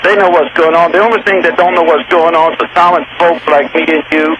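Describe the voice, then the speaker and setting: A man's voice: fairly high pitch, fairly even intonation, fast. A man with a Texan accent calling in to a radio show, talking in a rambling, folksy, down-home way. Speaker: radio call-in caller. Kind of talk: live call to a radio show. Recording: noisy phone line on a radio broadcast.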